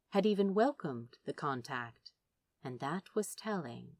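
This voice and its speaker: A woman's voice: low voice, darkish tone, dry recording. A woman reading fiction aloud as a narrator, in a dramatic, emotive storytelling style. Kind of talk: expressive scripted audiobook narration.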